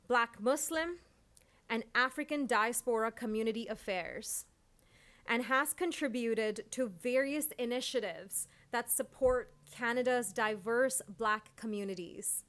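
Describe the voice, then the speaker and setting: A woman emcee at an awards ceremony, reading each honoree's citation in a measured, formal way. Her voice sits a little high. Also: fairly bright tone. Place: large event hall.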